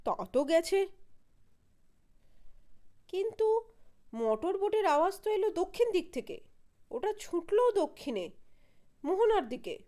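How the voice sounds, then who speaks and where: A woman's voice: extremely high pitch, big pitch swings, measured pace, pauses often, dark tone, pitched above the performer's own voice, exaggerated voice. A woman voicing an eager, alert young man who works as a sleuth in an audio detective story. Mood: puzzled, insistent.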